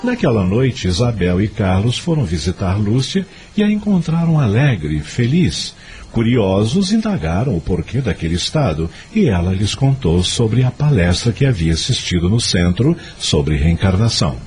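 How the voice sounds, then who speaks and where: A man narrating a scripted audio drama in an expressive storytelling manner. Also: on the low side, normal speed.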